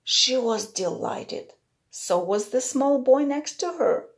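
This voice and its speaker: Woman teacher doing a kids read aloud, slow n kinda high voice, explains stuff simply. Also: expressive, creaky. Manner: simple, explanatory phrasing for young children. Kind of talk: children's picture-book read-aloud with explanatory asides.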